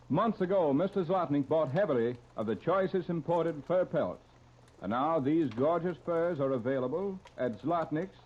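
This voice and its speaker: A man with an American accent announcing on 1930s radio in a formal, polished, scripted style, heard on an old broadcast recording. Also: a little high. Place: radio studio. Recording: dull, lo-fi 1930s radio transcription disc.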